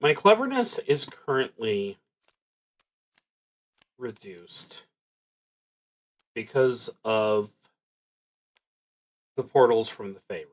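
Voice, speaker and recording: Slow, halting man with lively pitch. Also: poor audio. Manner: halting, deliberating. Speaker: solo roleplay streamer. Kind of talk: thinking aloud while streaming a tabletop game.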